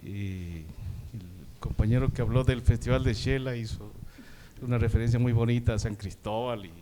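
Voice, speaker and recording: A man from Costa Rica with a Costa Rican Spanish accent, speaking at a symposium, giving a measured, academic comment with fillers like "digamos". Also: medium pitch, a little mellow. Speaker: symposium participant asking a question.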